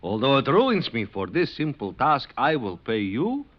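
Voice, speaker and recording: A man's voice: medium pitch, huge pitch swings, measured pace. An actor playing a wily immigrant father in an old radio western, florid and pleading. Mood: pleading, crafty. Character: wily, theatrical immigrant farmer, haggling father. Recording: muffled vintage radio recording.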